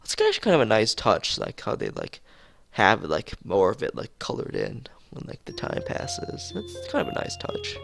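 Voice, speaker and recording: A man streaming a visual novel, reading its script aloud haltingly, stumbling and repeating phrases, with casual asides. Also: on the low side, wildly swooping pitch, gravelly, fairly bright tone, faint music behind.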